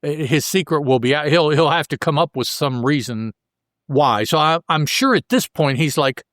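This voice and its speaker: A man with a slightly higher-pitched voice hosts a casual podcast recap. He talks through a comic book story colloquially, tossing in joking asides. The sound is close and fairly dry. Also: moderate pace, fairly bright tone, dry recording. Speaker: podcast host.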